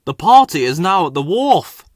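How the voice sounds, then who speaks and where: A man's voice: on the high side, sing-song, normal speed, no room sound, clean studio sound, voice pushed up. A man voicing an eager, kind-hearted little steam engine in a children's storybook. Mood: determined.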